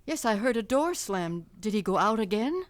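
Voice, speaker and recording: A woman's voice: medium pitch, very animated, normal speed, close to an everyday voice. A woman playing a middle-aged, bitterly jealous wife and mother in a radio drama, anxious and accusing. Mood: bitter, suspicious.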